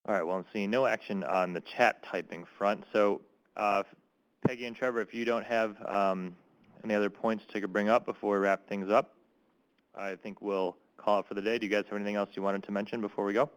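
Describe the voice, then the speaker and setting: A man moderating a webinar, relaxed as he sums up and invites questions. His voice is on the low side. He drops in easy fillers as he goes. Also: brisk, highs cut off. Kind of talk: casual moderating.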